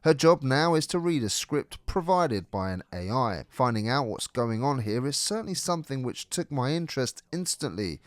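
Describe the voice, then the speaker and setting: A man's voice: medium pitch, expressive, normal speed, hardly any pauses, no room sound. Man narrating game reviews, very dry sound.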